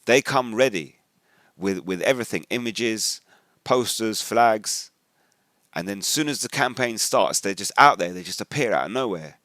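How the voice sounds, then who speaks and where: A man with an English accent and a notably deep voice speaks in an informal commentary style. His wording is colloquial and slangy, and he slips into quoted remarks as he explains things. The sound is dry, with very little room around the voice. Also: animated, normal speed, a bit bright, dry recording. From England. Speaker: religious video commentator. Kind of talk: informal spoken commentary with personal anecdotes.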